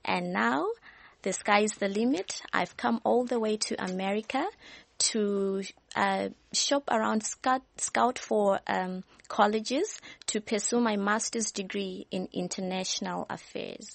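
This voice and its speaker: A young woman from Zimbabwe, a studio guest, speaking hesitantly with many pauses. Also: medium pitch, creaky. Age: young adult.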